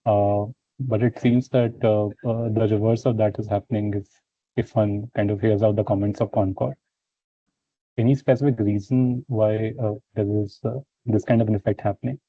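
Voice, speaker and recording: A man's voice: on the low side, level tone, moderate pace, no room sound. A man asking questions as a financial analyst on an earnings call, polite and hesitant, with roundabout phrasing. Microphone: phone line. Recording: thin phone line, very dry.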